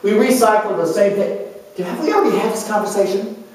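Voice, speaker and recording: Man playing a high-pitched, flighty young chatterbox in an echoey room. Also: brisk. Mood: giddy, gossipy. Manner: excitable, scattered, gushing, jumping between subjects. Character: flighty, chatty, easily bored young student embodying Gemini. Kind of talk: theatrical solo character monologue. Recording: very echoey room, distant mic.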